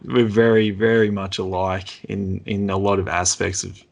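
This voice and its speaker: A man's voice: low voice, flat delivery, moderate pace, gravelly. An easygoing man from Australia with an Australian accent, chatting casually and answering briefly. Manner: easygoing, brief agreements, laughs often. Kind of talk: casual chat.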